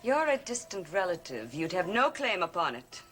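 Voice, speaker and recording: An actress playing a calculating, questioning hostess with an occult bent in a gothic television soap, speaking deliberately. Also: female voice, on the low side, sing-song, a bit bright, faint music behind. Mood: probing.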